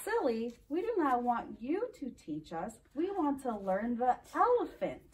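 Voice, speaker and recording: Woman as a high-voiced eager little animal. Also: very animated, measured pace. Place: indoor library meeting room. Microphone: camera several feet away. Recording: far from camera, faint noise.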